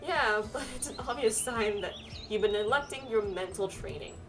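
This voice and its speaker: A woman voicing a serious, dutiful young pilgrim from a fantasy game, in an earnest, measured manner. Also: mid-range voice, distant mic, music in the background, close to an everyday voice. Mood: stern.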